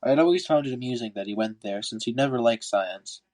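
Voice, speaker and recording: A man's voice: mid-range voice, quick pace, darkish tone. Congested man reading a story aloud. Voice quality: congested, stuffy nose. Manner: stumbles over words and rereads lines. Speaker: podcast host reading a book aloud. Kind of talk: amateur audiobook narration.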